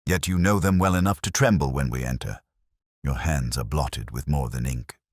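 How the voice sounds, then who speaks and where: A man voicing a keen, commanding detective in an audiobook, speaking in formal, deliberate phrases. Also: rumbling low, no echo, studio quality. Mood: stern. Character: male master detective, keen, commanding and coolly analytical.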